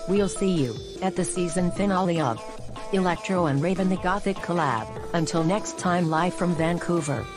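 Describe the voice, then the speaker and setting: A woman with a lowish voice reads out the show's announcements in a hyped, listing way. Her narration sits over loud, clear background music. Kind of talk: scripted narration.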